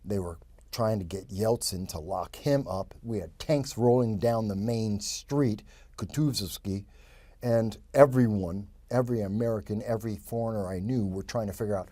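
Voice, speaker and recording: A man in his fifties from New York, a guest telling his life story in a lively, rambling way full of quick asides. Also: on the low side, no echo. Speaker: interview guest. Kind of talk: casual interview storytelling.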